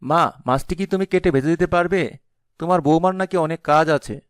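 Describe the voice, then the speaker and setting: Guy doing timid polite village man in a cartoon, smooth highish voice, very dry recording. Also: brisk, no echo, close to an everyday voice. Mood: gentle. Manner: polite, earnest. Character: timid, polite village husband and dutiful son.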